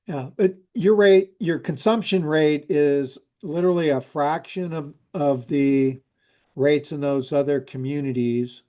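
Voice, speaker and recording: Rate consultant, man, slightly high voice, slow and halting delivery, dialing in by video call. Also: dark tone. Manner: hesitant, full of restarts and fillers. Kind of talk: presenting to a council. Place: remote, joining by video call.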